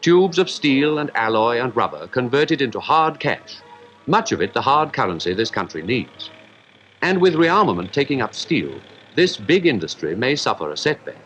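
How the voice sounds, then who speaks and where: A man's voice: mid-range voice, sing-song, normal speed, fairly bright tone. A man with a British accent narrating a scripted 1950s newsreel. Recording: 1950s newsreel soundtrack with music behind. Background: music.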